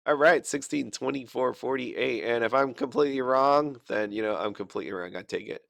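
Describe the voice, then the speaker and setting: A man's voice: medium pitch, brisk, gravelly, a bit bright, dry recording. A sick man rambling through casual gameplay commentary, chatty and self-deprecating, with lots of fillers and restarts. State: sick, fighting a cough. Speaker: gaming channel host.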